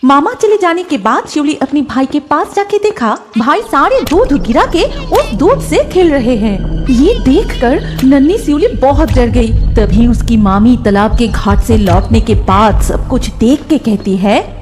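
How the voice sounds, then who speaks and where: A woman's voice: high voice, very animated, measured pace, few pauses, over music. A woman reading scripted children's story narration as the narrator.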